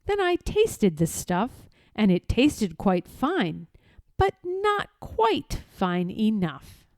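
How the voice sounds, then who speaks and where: A woman reading a children's story aloud in a theatrical, rhythmic way, as expressive storytelling. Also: medium pitch, drawn-out words, no echo, studio quality. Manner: theatrical, rhythmic rhyming delivery. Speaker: storybook reader.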